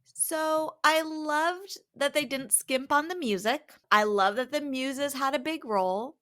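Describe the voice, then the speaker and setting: A woman's voice: high voice, unhurried, sharp tone. A woman co-hosting a podcast, giving a casual, conversational recap in an informal, wryly amused way. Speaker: podcast co-host.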